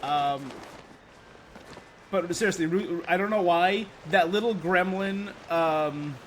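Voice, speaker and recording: A man's voice: high voice, takes it slowly, fairly bright tone, slight background noise. A man streaming a game, chatting casually and thinking aloud in a rambling, opinionated way. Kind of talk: casual livestream gaming chat. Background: game music.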